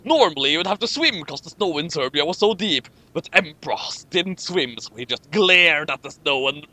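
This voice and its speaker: A man's voice: fairly high pitch, lively intonation, normal speed, sharp tone. A man doing a comic read-aloud with commentary, often stumbling over his words and rereading lines. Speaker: commentary host reading fan fiction.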